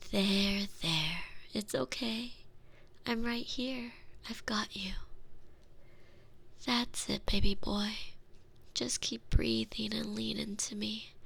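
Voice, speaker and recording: A woman playing a protective, gently dominant girlfriend in an intimate ASMR roleplay, soothing and doting, often slipping into breathy whispers. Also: fairly high pitch, exaggerated voice. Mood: soothing concern.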